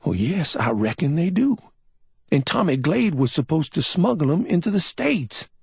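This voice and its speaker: A man voicing a slow, baffled male officer from the Carolinas, hesitant and drawling. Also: on the high side, very animated, vocal fry, a little mellow, slightly rough, no room sound, studio quality, voice pushed up, exaggerated voice. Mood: glum.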